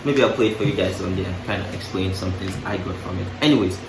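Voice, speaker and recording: A man's voice: deep voice, fast, music in the background. A young man musing casually to camera, breaking off into playful asides and restarting his thoughts. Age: young adult. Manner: musing, self-interrupting, playful asides with laughs. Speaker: vlogger. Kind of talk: casual reflective monologue to camera. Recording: roomy, distant mic, clear background noise.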